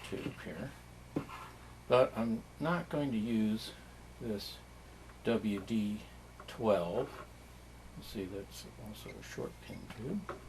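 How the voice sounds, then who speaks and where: Slow-talking, low-voiced man. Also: noisy background. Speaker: vintage radio collector demonstrating. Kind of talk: casual narrated demonstration.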